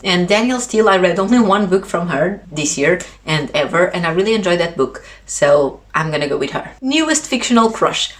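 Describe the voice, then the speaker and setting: Lower-voiced woman, expressive book vlogger. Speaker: book reviewer video host. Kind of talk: casual chat to camera.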